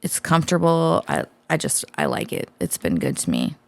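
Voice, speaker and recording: A woman's voice: sits low, huge pitch swings, moderate pace, gravelly, no echo. A woman co-hosting a podcast, chatting casually and bluntly, swearing freely as she tells stories. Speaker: podcast co-host. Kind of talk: casual chat.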